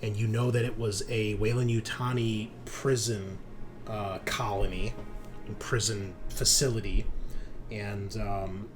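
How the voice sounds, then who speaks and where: A man running a tabletop roleplaying game as game master, improvising his narration and thinking aloud in a halting way. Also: fairly low pitch, steady tone, music in the background. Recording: clear background noise.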